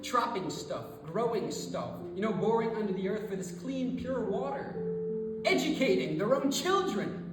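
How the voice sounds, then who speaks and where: An actor performing a theatrical monologue as a rambling, sentimental storyteller, speaking casually with halting, emotional repetitions. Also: mid-range voice, natural voice. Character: rambling, sentimental storyteller moved by a family reunion, turning zealous. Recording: very echoey hall, distant mic, music behind.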